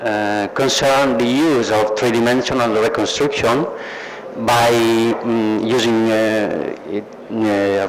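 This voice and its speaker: A man with an Italian accent gives an acceptance speech as an award recipient, haltingly searching for words. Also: on the low side. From Italy. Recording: noisy live event recording.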